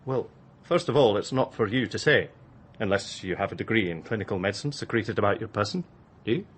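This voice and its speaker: An actor playing a callous doctor in a radio drama, clinical and condescending. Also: male voice, on the low side, expressive, a little fast. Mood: condescending, smug.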